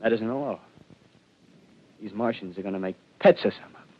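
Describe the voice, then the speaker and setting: An actor playing a desperate male ex-militiaman who rambles feverishly about his survival scheme, swinging between hushed and loud. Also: fairly low pitch, moderate pace, husky. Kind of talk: 1930s radio drama acting. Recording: dull lo-fi vintage radio broadcast.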